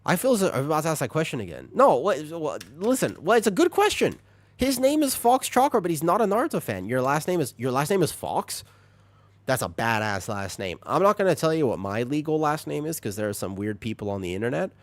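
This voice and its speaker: A man streaming a video game, chatting with viewers, reacting with excited high bursts and then dropping into quiet asides. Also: brisk, no room sound. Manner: animated, jumps into high excited bursts while reading chat; drops to low, quiet asides while setting up. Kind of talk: live-stream chat while gaming.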